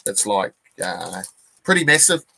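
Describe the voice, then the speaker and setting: A man in his forties with a New Zealand accent, chatting casually on a live stream as a comic collector, rambling and relaxed with lots of fillers and restarts. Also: on the low side, measured pace, vocal fry.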